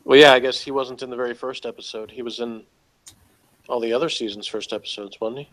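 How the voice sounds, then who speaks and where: A man's voice: medium pitch, steady tone, fast talker, fairly bright tone, poor audio. A man chatting casually as he reviews TV, often chuckling as he talks. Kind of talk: casual conversational review chat.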